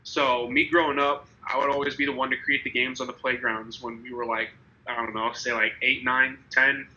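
A man's voice: medium pitch, flat delivery, moderate pace, bright, forceful tone, highs cut off. A young man in his twenties with a slightly congested, nasal voice from a cold, hosting a casual podcast chat and rambling with many restarts. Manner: rambling, restarts, laughs easily. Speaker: podcast host.

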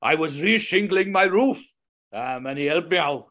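A man's voice: fairly high pitch, expressive, moderate pace, a bit bright. Guy doing an old welsh fella, lilting and chatty, wistful rambling, comedy podcast bit. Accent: put-on Welsh. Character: chatty reminiscing older Welsh man.

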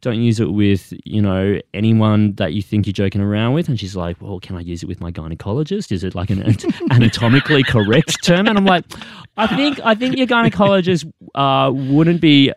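A young adult Australian man, a guest author, telling wry, animated stories in a casual interview. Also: mid-range voice, a little fast, no echo, studio quality.

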